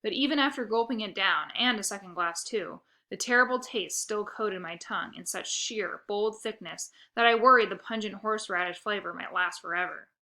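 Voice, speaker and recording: A woman narrating a children's book aloud with expressive, first-person storytelling. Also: mid-range voice, normal speed, hardly any pauses.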